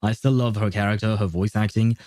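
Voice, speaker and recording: A man's voice: on the low side, fairly even intonation, a little fast, darkish tone, no room sound. A man chatting casually as a game livestream host, rambling and opinionated. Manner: rambling, opinionated, often chuckling. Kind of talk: casual gaming livestream chat.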